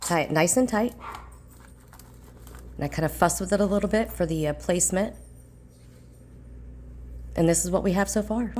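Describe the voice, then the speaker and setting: Low-pitched woman, a bit congested, walking viewers through a craft project calmly, faint room hum behind. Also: moderate pace, long pauses. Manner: calm, plain, practical explaining. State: slightly congested voice. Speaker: craft tutorial host. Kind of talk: step-by-step craft instruction.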